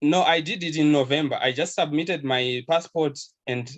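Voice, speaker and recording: A man's voice: a little high, normal speed, highs cut off. Earnest young man from Zimbabwe. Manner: earnest, hesitant, laughs softly. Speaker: webinar attendee, pharmacy intern. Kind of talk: casual video call conversation. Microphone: video call.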